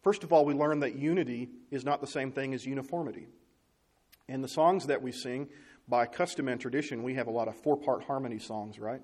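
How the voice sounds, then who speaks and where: A man's voice: medium pitch, brisk, a little mellow. A man preaching a conversational sermon to his congregation, often asking them rhetorical questions.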